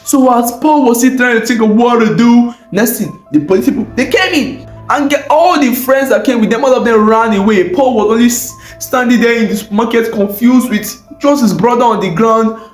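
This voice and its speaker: High-pitched West African man telling stories dramatically. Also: normal speed. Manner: dramatic, exclamatory, repeats phrases and asks rhetorical questions. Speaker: storyteller. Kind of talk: animated informal oral storytelling. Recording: roomy echo, faint music bed.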